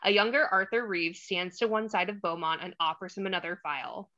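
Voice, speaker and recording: A woman's voice: mid-range voice, steady tone, a little fast, bright, forceful tone, lo-fi sound. A woman reading screenplay directions aloud as scripted narration for a table read.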